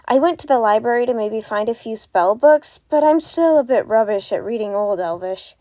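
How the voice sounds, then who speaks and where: A woman acts out a friendly young female wizard for a fantasy podfic. Her voice is highish and soft-edged rather than bright, close and dry. She talks in a chatty, earnest, slightly sheepish way. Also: expressive, moderate pace, no room sound, close to an everyday voice. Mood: hopeful, sheepish. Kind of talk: amateur podfic character reading.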